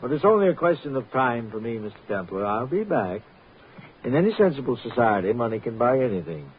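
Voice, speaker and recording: An actor playing a smooth, menacing crime boss who speaks with mocking politeness in an old radio drama. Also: male voice, medium pitch, very animated, lightly acted. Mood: resigned, suave. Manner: deliberate, mockingly polite, echoing questions. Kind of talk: old-time radio acting. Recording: tinny, noisy vintage radio recording.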